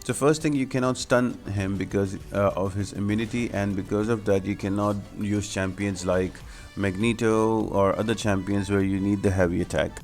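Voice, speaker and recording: A man with a fairly low voice delivers gameplay commentary as a guide. He explains things in a practical, step-by-step way. Faint music plays behind his narration. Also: fairly even intonation, moderate pace, hardly any pauses, a little mellow. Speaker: video game guide host. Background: background music.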